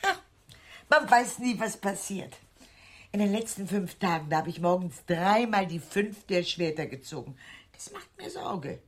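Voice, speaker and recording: An actress playing a whimsical, superstitious aunt of about sixty in a German radio drama. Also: female voice, medium pitch, sing-song, a little slow. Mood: ominous worry. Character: eccentric sixty-year-old aunt, superstitious, whimsical and blithe.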